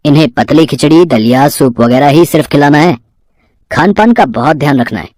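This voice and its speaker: A man's voice: fairly high pitch, animated, fairly quick, darkish tone, no room sound, clean studio sound, close to an everyday voice. A man voicing a matter-of-fact doctor giving instructions in a moral-story drama. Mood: instructing.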